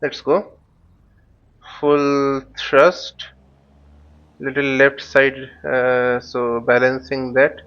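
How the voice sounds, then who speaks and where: A man giving an unscripted step-by-step tutorial as an instructor, halting and thinking aloud, often posing questions and answering them himself. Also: mid-range voice, flat delivery, a bit bright, clear, resonant tone, lo-fi sound. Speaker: flight simulator instructor. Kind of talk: unscripted tutorial with live demonstration. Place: voice-over recorded while using a flight simulator.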